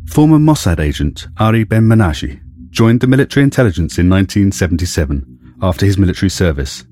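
A man hosting and narrating an investigative podcast in a measured, serious tone. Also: low voice, expressive. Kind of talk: scripted documentary narration. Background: soft music.

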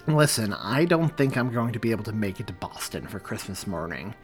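A man reading as a tired, apologetic middle-aged police officer and husband with a hoarse voice. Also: lowish voice, sing-song, brisk, soft background music. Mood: weary.

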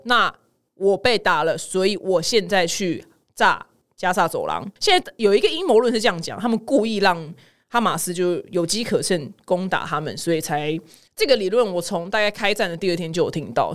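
A woman podcast host with a Taiwanese Mandarin accent, commenting on the news in a chatty, informal, slangy way. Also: mid-range voice.

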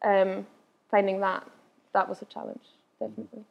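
A young woman from Austria, whose first language is Austrian German, with an Austrian accent with Scottish touches. She answers audience questions at a live event in a fast, chatty way with many fillers and restarts. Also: mid-range voice, flat delivery, creaky, highs cut off. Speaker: guest speaker.

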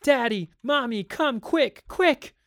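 A man's voice: extremely high pitch, expressive, slow, fairly bright tone, dry recording, clean studio sound, pitched above the performer's own voice, heavily acted. A man voicing hysterical, spoiled young children in a story reading, whiny and wailing. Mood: urgent. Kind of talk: dramatic storybook narration.

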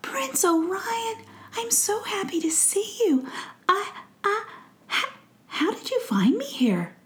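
A woman voicing a small, weary young female fairy who speaks softly and shakily, in a storybook reading. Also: fairly high pitch, very animated, moderate pace, voice pushed up. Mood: shaken. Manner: soft, shaky and breathy.

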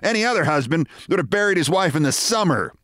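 A man voicing a sharp-tongued middle-aged wife who scolds and mocks her husband. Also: fairly high pitch, sing-song, fast talker, dry recording, studio quality, voice pushed up. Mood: scolding. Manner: scolding, sarcastic.

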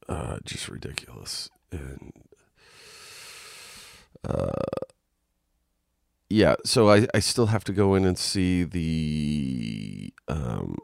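A man with a very deep, gravelly voice and a stuffy, nasal sound from a congested head. He rambles slowly and frankly through a solo podcast, full of ums and restarts. The recording is close and dry. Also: darkish tone, no room sound. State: congested and coughing. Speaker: podcast host. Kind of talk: casual solo podcast monologue. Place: home.

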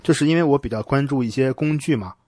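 A man's voice: mid-range voice, fast talker, dark tone, dry recording, studio quality. A man hosting a casual podcast conversation, explaining his reasoning step by step and often checking for agreement. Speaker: podcast host.

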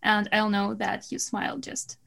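A woman's voice: mid-range voice, monotone, moderate pace, vocal fry, fairly bright tone. A woman from Belarus, a guest on a podcast, chatting cheerfully and laughing easily. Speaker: podcast guest, SEO business founder. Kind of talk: casual podcast chat.

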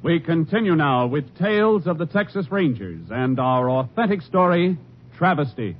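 A man giving stately, measured announcements for an old radio show. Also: a little high, big pitch swings, muffled recording. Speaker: program announcer. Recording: distant old radio broadcast with music.

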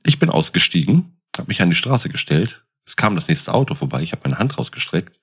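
Male, very low and rough-edged. Animated, casual anecdote teller. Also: brisk.

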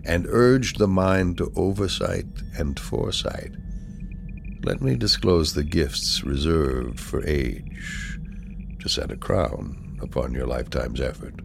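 A man giving a solemn poetry reading in a measured, deliberate manner, pausing between phrases. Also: very deep voice, creaky, background noise. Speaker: poetry reader.

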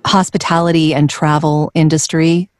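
A woman voiceover artist with a smooth voice, talking in an interview and laughing often in a light, self-deprecating way. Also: deep voice, little pitch movement, moderate pace, no room sound, studio quality. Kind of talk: conversational interview.

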